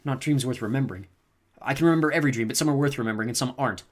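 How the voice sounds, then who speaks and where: A man's voice: mid-range voice, very fast, natural voice. A man voicing a serious, guarded teenage boy who speaks earnestly in an audiobook reading.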